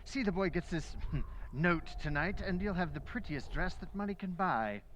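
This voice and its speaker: A man's voice: high-pitched, animated, moderate pace, a bit bright, a little hiss or hum. A man playing a pushy, wheedling stranger who trades in racing tips, in the style of old-time radio acting. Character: smooth-talking, pushy male stranger, a bribing racing tout.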